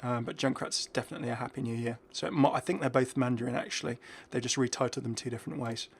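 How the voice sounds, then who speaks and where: Male gaming host, medium pitch, chatty off-the-cuff commentary, thinks out loud as he goes. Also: fairly even intonation, racing, vocal fry, husky. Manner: chatty, musing aloud, breaking off mid-thought. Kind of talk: casual unscripted commentary on game content.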